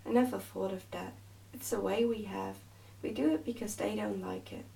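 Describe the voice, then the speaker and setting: A woman's voice: medium pitch, steady tone, normal speed, creaky, dark tone, far from the mic, a little hiss or hum, poor audio. A woman voicing a brave, good-natured miner boy from a storybook, easygoing and plain-spoken.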